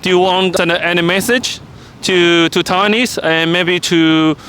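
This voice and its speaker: A man with a high voice works as a casual interviewer. He puts his questions hesitantly and slips in short acknowledgements. The recording is made outdoors, with faint urban vehicle noise. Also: level tone, measured pace, sharp tone. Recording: outdoor street recording with traffic rumble.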